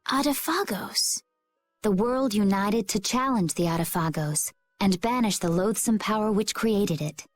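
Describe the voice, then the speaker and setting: A woman playing a gentle, earnest young heroine in a video game, with careful delivery. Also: mid-range voice, measured pace, soft background music, close to an everyday voice. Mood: solemn.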